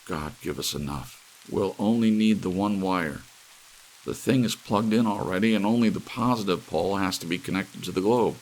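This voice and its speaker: A man's voice: low-pitched, normal speed, slight background noise, natural voice, rough recording. A man voicing an intense, urgent scientist who gives advice and orders in an audiobook story. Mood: urgent hope. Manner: urgent, advising. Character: John Erickson, intense male scientist with thinning hair.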